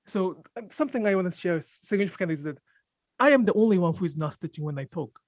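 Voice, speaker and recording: Japanese accent guy chatting casually, pauses a lot, dry sound, laughs here and there. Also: sits high, lively intonation, dry recording. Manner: thoughtful, pauses to search for English words, laughs often. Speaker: sashiko teacher. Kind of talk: casual group conversation.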